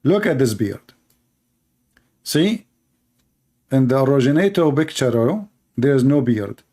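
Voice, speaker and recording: A man's voice: medium pitch, very animated, takes it slowly, dark tone. A man, hosting a commentary show and mocking video clips in a sarcastic, rambling way with many fillers.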